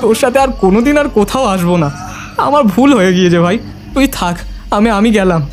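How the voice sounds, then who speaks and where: A terrified, high-pitched village boy. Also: expressive, fairly quick, over music. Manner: panicky, breathless pleading. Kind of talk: Bengali audio horror drama.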